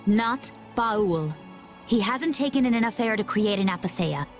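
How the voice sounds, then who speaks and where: A woman voicing a composed, firm, mysterious woman warrior in a video game, deliberate in manner. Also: medium pitch, animated, faint music behind, lightly acted.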